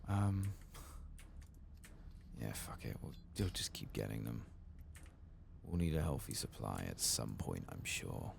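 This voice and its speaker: A man giving hushed, laid-back ASMR game commentary, speaking softly and casually close to the mic. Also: extremely low pitch, big pitch swings, measured pace, pauses often. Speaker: ASMR gaming host. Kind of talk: soft-spoken and whispered ASMR game commentary. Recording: clear background noise and game ambience.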